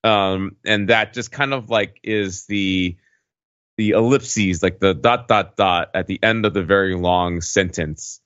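Middle-aged male panelist, deep voice, hesitant casual storyteller, close dry but slightly muffled sound. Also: measured pace, fairly bright tone, dry recording. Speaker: podcast panelist.

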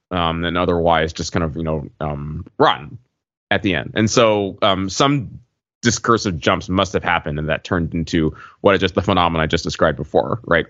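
A man with a very deep voice talks casually as a podcast co-host. He explains in a wordy, hesitant way, wandering into asides. The sound is slightly dull. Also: fairly bright tone. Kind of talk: casual podcast chat, explaining video game slang. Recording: muffled audio in an echoey room.

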